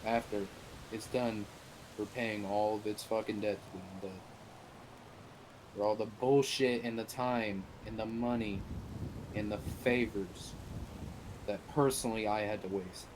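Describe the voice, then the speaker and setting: A man roleplays a commanding faction leader in an online survival game. He has a lowish voice and speaks deliberately, lecturing with profanity. The amateur voice-chat recording is clearly noisy. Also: steady tone, natural voice. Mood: stern, commanding. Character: stern male boss of a survivor faction, commanding.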